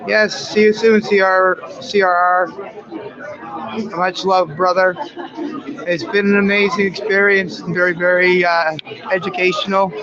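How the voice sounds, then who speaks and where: A hoarse man with a high voice, rambling casually into his phone while streaming live from outdoors. He loses his thread often and restarts his sentences. The audio is muffled, and a busy crowd hums behind him. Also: little pitch movement, unhurried. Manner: rambling, with many fillers and restarts. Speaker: livestreaming independent journalist. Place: outdoors among a crowd near a press conference.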